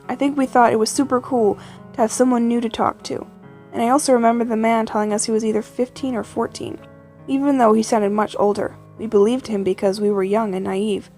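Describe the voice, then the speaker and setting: A woman's voice: a little high, a little mellow. A woman narrating a first-person scary story in an informal, conversational way.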